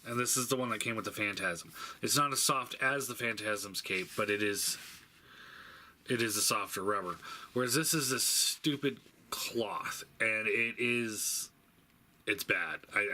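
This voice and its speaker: A man giving a casual, unscripted product review, thinking aloud in a halting way and stating blunt opinions. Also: mid-range voice, bright, forceful tone. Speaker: toy reviewer. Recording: faint background noise.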